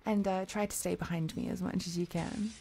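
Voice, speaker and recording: A woman's voice: lowish voice, fairly even intonation, a little fast, gravelly, mellow, a little hiss or hum. A woman playing an affectionate, playful demon guide through hell in a fantasy audio roleplay, chatty, coaxing and protective toward the listener. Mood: brisk, protective. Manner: coaxing, chatty, protective, with pet names and small hesitations.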